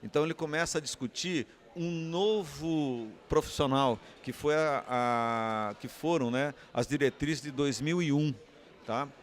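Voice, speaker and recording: Higher-voiced Brazilian man explaining in an interview. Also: a little slow. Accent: Brazilian Portuguese. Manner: explanatory, often posing rhetorical questions. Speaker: interviewee, communications academic. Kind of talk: unscripted interview answer.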